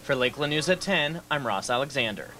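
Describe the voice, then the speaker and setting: A man's voice: mid-range voice, animated, quick pace, pushed and bright, slight background noise. A man working as a TV news reporter, narrating a scripted story.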